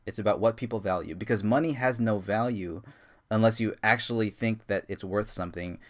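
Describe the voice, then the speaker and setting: A man in his thirties with a somewhat low voice, chatting on a casual podcast. He rambles eagerly, restarting his sentences and filling pauses with "um" and "like.". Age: 30s. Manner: rambling, enthusiastic, many fillers and restarts. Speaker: podcast co-host.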